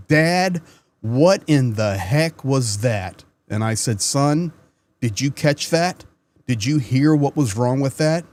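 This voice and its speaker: A man's voice: medium pitch, a little mellow. Earnest man hosting a commentary vlog. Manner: earnest, conversational, repeats words when starting. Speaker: channel host. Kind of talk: casual news commentary to camera.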